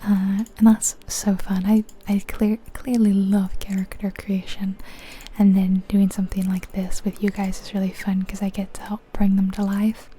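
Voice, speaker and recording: A woman whispering softly and breathily in a casual, chatty ASMR style as she comments on a game, gentle and musing. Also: mid-range voice, monotone, moderate pace, a little hiss or hum. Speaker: ASMR channel host.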